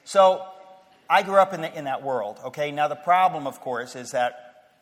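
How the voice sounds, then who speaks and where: A man's voice: a little high, moderate pace. Joking, restarting man in a reverberant hall. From Queens, New York. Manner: conversational and humorous, with stammered restarts and rising emphasis. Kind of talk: casual personal talk.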